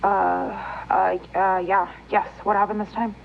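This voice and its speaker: An exasperated, flustered woman, played by an actress, talks in a casual, rambling way on a late-night call. Her mid-pitched voice comes through a dull, slightly hissy phone recording. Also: level tone, unhurried, gravelly. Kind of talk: naturalistic phone call acting.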